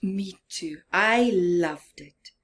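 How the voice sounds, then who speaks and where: A woman reading a picture book aloud to young children, dramatic and expressive, with simple asides and questions to the listener. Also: fairly low pitch. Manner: slow, simple child-directed phrasing. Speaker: storyteller for children.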